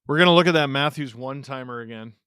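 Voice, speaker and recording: Canadian-raised guy streaming hockey, bright clear voice, jokey and reactive, dry close mic. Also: mid-range voice, fast, no echo. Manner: animated, joking, reacting to play and chat. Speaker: live hockey watch-along host. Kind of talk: casual live-stream commentary.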